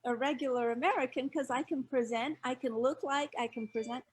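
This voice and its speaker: An older woman from Scotland, a guest reflecting on her life abroad in a measured, storytelling way. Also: sits high, a little hiss or hum. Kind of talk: casual interview chat.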